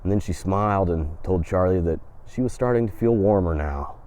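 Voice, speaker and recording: A man hosting and telling a folk tale in an unhurried, dramatic storytelling manner. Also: low-pitched, mellow. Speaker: host and storyteller.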